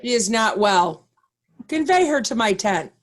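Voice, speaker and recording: A stern and righteous duke, played by a woman, speaks Shakespearean verse at an unhurried pace. The delivery is formal and commanding, like someone used to leading an army. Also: fairly high pitch, expressive. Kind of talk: Shakespearean reader's theatre.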